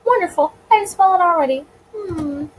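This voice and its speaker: A woman voicing a suave, playful male mobster in a visual novel, smooth, composed and teasing. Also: very high voice, moderate pace, a little hiss or hum, pitched above the performer's own voice, poor audio. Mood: pleased. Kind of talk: visual novel character reading.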